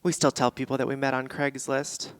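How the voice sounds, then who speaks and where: A woman's voice: rumbling low, little pitch movement, fairly quick. A woman playing a brash, candid woman telling her life story in a theatrical stage monologue, in short punchy phrases. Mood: wistful, wry. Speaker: stage actor in a staged reading. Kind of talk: theatrical monologue before an audience. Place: theatre stage, live performance.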